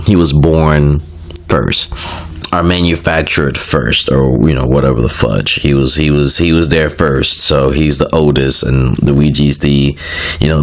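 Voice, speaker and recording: A young man getting over a cold, sounding a little nasal, casually explaining video game lore with lots of fillers, asides and self-corrections. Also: a bass voice. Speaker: video creator.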